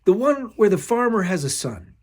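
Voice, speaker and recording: A man's voice: high-pitched, big pitch swings, normal speed, voice pushed up. A man voicing Sue, a folksy young male soldier telling a parable in a drawling way. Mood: storytelling.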